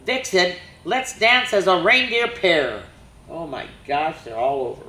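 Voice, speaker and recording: A woman voicing a cheerful dancing Christmas reindeer from a picture book, with bouncy, excitable exclamations. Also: fairly low pitch, a little slow, heavily acted. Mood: excited. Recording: roomy echo.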